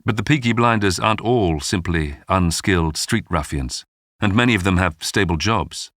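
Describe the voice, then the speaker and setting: A man narrating a true-crime history documentary in a scripted, dramatic storytelling style with measured delivery. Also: sits low, a bit bright, no echo, clean studio sound.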